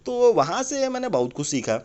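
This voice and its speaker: Man playing a cynical motivational guru, slightly high voice, coarse, swearing, irritable rambling rant, comedy phone-call sketch. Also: big pitch swings, normal speed, a little mellow. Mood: scornful, boastful. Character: crude, irritable, cynical male motivational speaker admitting his con.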